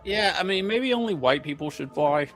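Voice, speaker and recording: A man's voice: high voice, expressive, normal speed, a bit bright, soft background music. A man co-commentating on a livestream in casual, joking chat.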